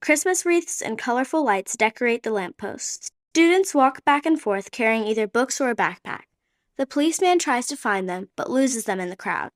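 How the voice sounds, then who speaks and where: A woman narrating a radio play in a steady, descriptive way. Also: a little high, moderate pace, no room sound, clean studio sound. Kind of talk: scripted narration.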